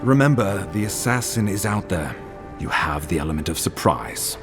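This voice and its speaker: An actor playing a grave, courtly imperial ambassador in a video game, speaking formally and deliberately. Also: male voice, low-pitched, sing-song, over music. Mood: measured, advising. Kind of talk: scripted video game dialogue.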